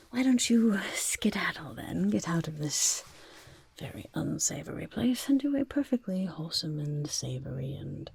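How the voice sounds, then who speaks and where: A woman's voice: deep voice, a little mellow, exaggerated voice. A woman voicing a sly, chatty goblin market peddler in a whispered ASMR roleplay, with wheedling salesmanship. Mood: coaxing, scheming. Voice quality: breathy, husky whisper with creaky edges. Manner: wheedling salesmanship, speaks of self in third person.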